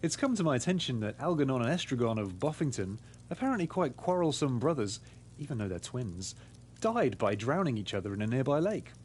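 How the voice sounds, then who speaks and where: Man playing an animated, formal detective pig. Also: mid-range voice, slight background noise, lightly acted. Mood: earnest, deductive. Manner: formal, deliberate reasoning. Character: earnest pig detective, methodically presenting his deductions. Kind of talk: scripted game dialogue.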